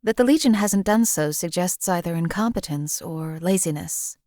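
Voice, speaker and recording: A woman voicing a cold, emotionally hollow female assassin in a game, speaking in a flat, detached and formal way. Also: lowish voice, dry recording, clean studio sound, natural voice. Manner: flat, detached, coolly deadpan; measured, formal phrasing. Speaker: voice actor for a game companion. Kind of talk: recorded game voice lines.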